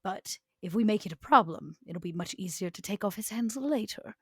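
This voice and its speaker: A woman voicing an elegant, scheming century-old vampire aristocrat in a tabletop roleplay, with measured, formal, deliberate phrasing. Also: medium pitch, lively intonation, breathy edge, no room sound, clean studio sound. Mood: cool, calculating.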